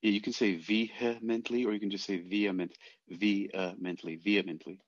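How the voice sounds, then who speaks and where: A man's voice: on the low side, fairly even intonation, fairly quick. A man moderating a reading, coaching in a casual, helpful way and piecing his sentences together hesitantly, with dull, muffled sound. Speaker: podcast moderator helping the reader.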